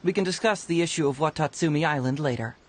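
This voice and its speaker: An actor playing a young male detective in a video game, breezy, quick-witted and self-assured, with a smooth, playful delivery. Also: on the high side, noisy background, lightly acted. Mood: casual, persuasive. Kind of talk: scripted game voice acting.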